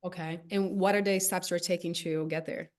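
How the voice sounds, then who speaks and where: Female interviewer with a slightly low voice, Brazilian accent from native Portuguese, relaxed conversational questioning style. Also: normal speed. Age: 30s. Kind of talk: casual interview chat.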